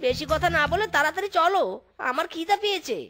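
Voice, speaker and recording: A woman's voice: very high voice, animated, fast talker, bright, forceful tone, faint music behind, full character voice. A woman voicing a greedy, boastful fox husband in a comic Bengali cartoon, wheedling and grumbling. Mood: eager. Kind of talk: Bengali animated comedy story dialogue.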